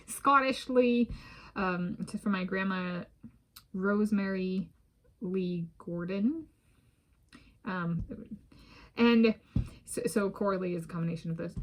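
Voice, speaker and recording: A woman from the United States talking casually to her own camera, rambling with many restarts and small laughs. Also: mid-range voice. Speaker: vlogger. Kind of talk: casual solo talk to camera. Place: home in a residential area. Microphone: self-filmed camera.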